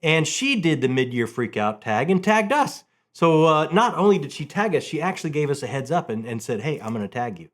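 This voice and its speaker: A man hosting a casual, enthusiastic book vlog, talking in an animated way with halting restarts and repeated words. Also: fairly high pitch.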